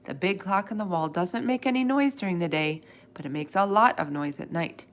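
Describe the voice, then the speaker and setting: A woman reading a children's storybook aloud in an expressive, animated way, acting out the dialogue. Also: lowish voice, brisk. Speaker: storybook reader.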